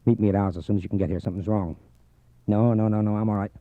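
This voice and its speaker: An actor playing a sullen, tense killer on the run in a 1940s radio suspense drama, with a curt, commanding manner. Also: male voice, on the low side, fairly even intonation, very fast, no echo, phone-quality sound, close to an everyday voice. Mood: urgent. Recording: faintly noisy 1940s radio disc.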